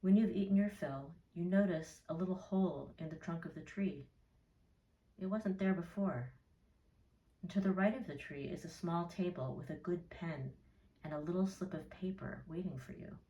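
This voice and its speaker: A woman narrating a guided meditation in a soothing, measured way, leaving gentle pauses. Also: lowish voice. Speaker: therapist leading a guided meditation. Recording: roomy echo, distant from mic.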